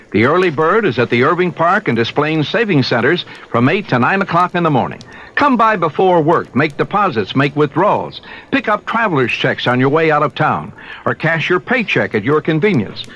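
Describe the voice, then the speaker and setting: A male announcer delivers a chatty commercial. His voice is on the higher side with big pitch movement. The sound is a muffled old radio recording. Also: a bit bright. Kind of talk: chatty 1970s radio advertisement read. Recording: dull lo-fi 1970s radio recording.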